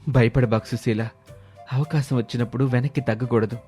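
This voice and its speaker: A man voicing a poor, hardworking village husband in an animated story, earnest and reassuring. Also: medium pitch, brisk, faint music behind, lightly acted. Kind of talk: animated moral story dialogue.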